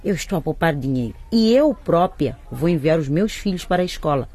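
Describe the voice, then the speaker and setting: A woman's voice: sits low, animated, brisk, warm tone. A proud, scolding market-vendor mother. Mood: scolding, indignant. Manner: brusque, indignant, exclaiming. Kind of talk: radio drama acting.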